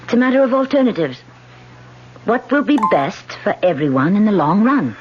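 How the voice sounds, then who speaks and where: An actress playing an anxious, strong-willed politician with a guilty secret, dramatic and halting in an old-time radio mystery. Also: female voice, medium pitch, big pitch swings, natural voice. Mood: grimly resolved. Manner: dramatic, halting under strain. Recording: dull, noisy old radio broadcast.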